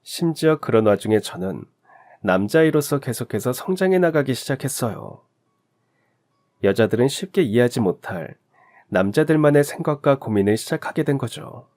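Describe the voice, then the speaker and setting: Expressive male story narrator. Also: mid-range voice.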